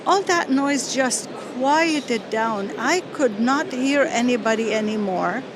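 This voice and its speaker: A woman from Lebanon telling her personal faith story in an interview, earnest and halting, with many pauses and fillers. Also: on the high side, a crowd in the background. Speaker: interview guest. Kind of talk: personal testimony. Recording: outdoor street noise behind.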